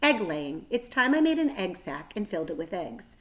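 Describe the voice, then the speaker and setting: A woman's voice: fairly low pitch, big pitch swings, normal speed. A woman voicing a wise, self-assured female spider in a children's storybook, precise and matter-of-fact. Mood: reluctant. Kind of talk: children's storybook read-aloud.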